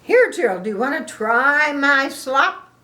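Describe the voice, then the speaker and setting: A woman voicing a cheerful, excitable young pig from a storybook, with singsong, drawn-out emphatic words. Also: sits high, pitched above the performer's own voice, heavily acted. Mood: eager, generous.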